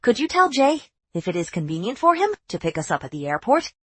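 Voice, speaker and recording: A middle-aged mother whose voice swings widely as she scolds in outrage. The sound is slightly husky, close and dry. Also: medium pitch, big pitch swings, a little fast, a bit bright, dry recording. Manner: emotional, indignant scolding.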